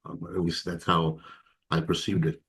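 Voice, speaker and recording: Deep-voiced man from Ghana, reflective. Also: level tone, normal speed. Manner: reflective, hesitant, many fillers and soft laughs. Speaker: interview guest. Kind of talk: candid personal testimony.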